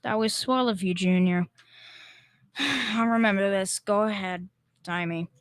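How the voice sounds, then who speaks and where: A woman's voice: medium pitch, unhurried, clear, resonant tone, dry recording, natural voice. A blustering middle-aged working-class father from an old radio comedy, played by a young woman. The talk is folksy and wisecracking, with a cowardly streak under the bravado. The sound is close and dry. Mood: reluctant sarcasm. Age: teenager.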